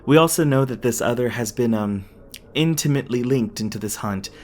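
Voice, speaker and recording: A man's voice: mid-range voice, fairly quick, a little mellow, close to an everyday voice. A man playing a smug, officious cult agent in an audio roleplay, wordy and falsely polite. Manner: pompous, wordy, mock-polite. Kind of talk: ASMR audio roleplay acting.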